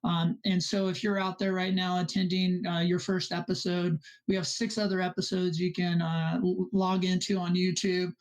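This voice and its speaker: A man's voice: high voice, level tone, moderate pace, hardly any pauses. Chatty man hosting a talk. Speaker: host, robotics club teacher. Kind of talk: hosting an online interview.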